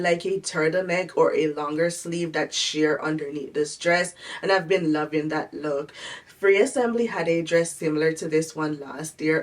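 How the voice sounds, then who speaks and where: A woman's voice: deep voice, recorded from a distance. A woman vlogger sounding a little nasal from a cold, chatting through a fashion try-on haul in a friendly, descriptive way.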